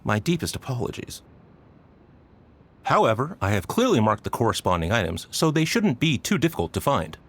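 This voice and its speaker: Animated, obliging male station attendant. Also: medium pitch, a little fast, breathy edge, exaggerated voice. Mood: deferential, helpful. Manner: polite, apologetic, obliging. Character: deferential, helpful male police warehouse attendant. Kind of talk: video game voice acting.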